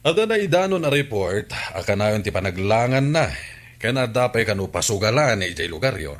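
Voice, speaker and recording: Man acting a lively-toned town official. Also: lowish voice, fairly quick. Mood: businesslike. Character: earnest, official town hall employee. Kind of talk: Ilocano radio comedy drama acting.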